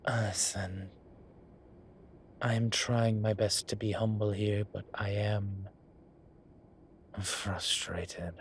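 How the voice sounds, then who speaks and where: A man playing a flirtatious, cheeky incubus demon in an audio roleplay, talking in a teasing, suggestive way with drawn-out pauses. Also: fairly low pitch, flat delivery, a little hiss or hum. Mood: humble frustration. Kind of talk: audio roleplay acting, one-sided conversation.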